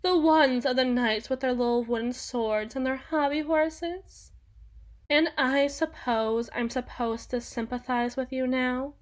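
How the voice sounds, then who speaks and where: A haughty young empress voiced by a woman holds forth in a theatrical audio roleplay. Her voice sits fairly high and she takes her words very slowly, leaving deliberate dramatic pauses. She is imperious and mocking, with a possessive, menacing edge. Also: steady tone. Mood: bitter, disdainful.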